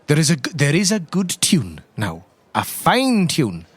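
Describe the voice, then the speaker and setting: Animated, folksy Irish priest in his sixties played by a man. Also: a little high, unhurried, slightly rough, no echo, heavily acted. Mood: boastful, playful. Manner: folksy, rambling, coaxing with tag questions.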